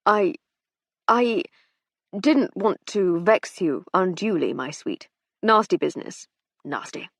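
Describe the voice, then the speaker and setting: Woman voicing a low, stammering, henpecked husband. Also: animated, slow, long pauses, dry recording, clean studio sound, full character voice. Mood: nervous. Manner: stammering, hesitant. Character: nervous, henpecked middle-aged businessman.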